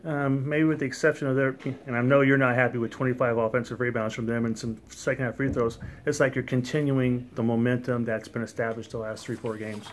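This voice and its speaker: Fast-talking male interviewer. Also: medium pitch, flat delivery, a little hiss or hum. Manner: quick, casual questioning with restarts. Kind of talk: post-game interview questions.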